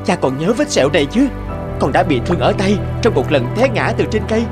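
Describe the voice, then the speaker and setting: A man playing an earnest, high-voiced young fairy-tale hero. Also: sing-song, fast talker. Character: earnest young merchant's son, later king. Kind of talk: animated fairy-tale dub. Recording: music behind the voice.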